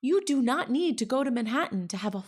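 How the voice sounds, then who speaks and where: Gushing, animated woman in her forties. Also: on the high side, fast. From New Jersey. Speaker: interview guest, story salon founder. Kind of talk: casual conversation.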